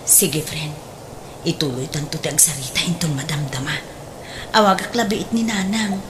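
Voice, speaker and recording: A woman's voice: deep voice, normal speed, background noise, close to an everyday voice. A woman acting a hopeful, patient young overseas worker in an Ilocano radio drama, with an Ilocano accent. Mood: encouraging.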